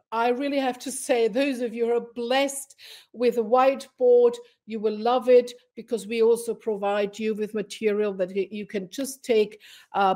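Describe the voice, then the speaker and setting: A woman's voice: fairly high pitch, a little mellow. A middle-aged woman, a teacher educator, presenting a webinar from her computer mic in a friendly, explanatory way with fillers. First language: English.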